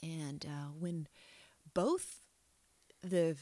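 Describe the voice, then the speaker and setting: Low-voiced reflective middle-aged woman. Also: extremely slow, mellow, no echo. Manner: reflective and frank, often trailing off and restarting. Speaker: interviewee. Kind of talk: candid personal storytelling.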